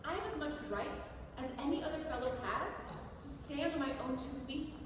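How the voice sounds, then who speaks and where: A woman reads literature aloud on stage in a high, declamatory voice. Her phrasing is measured and articulate, heard from far off in a reverberant hall with clear noise. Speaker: stage reader. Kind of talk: theatrical staged literary reading. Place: library district theater stage. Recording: echoey theater, distant mic, noisy.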